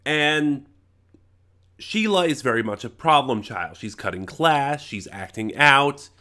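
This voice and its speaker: Male reviewer, congested with a cold, mid-pitched, very varied intonation, casual, halting, opinionated, unscripted. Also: lively intonation, pushed and bright. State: stuffy from a head cold.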